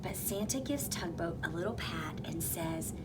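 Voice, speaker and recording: A woman's voice: on the low side, normal speed. Woman reading a picture book aloud. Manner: expressive, dropping to whispers for suspense. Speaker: reader. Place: elementary school. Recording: recording with clear background noise.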